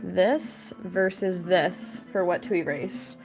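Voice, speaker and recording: A woman's voice: on the low side, slow, vocal fry, music in the background. Upbeat woman chatting on a livestream. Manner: chatty, upbeat, thanks viewers and reads chat aloud. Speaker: livestreaming artist. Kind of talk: casual livestream chat.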